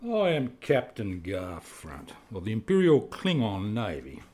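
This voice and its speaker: Guy doing a scaly alien navy captain, bossy n threatening, pitch swoops around, sci-fi radio drama. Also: wildly swooping pitch, unhurried, mellow, full character voice. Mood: imperious demand. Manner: commanding, threatening. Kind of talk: amateur sci-fi radio play acting.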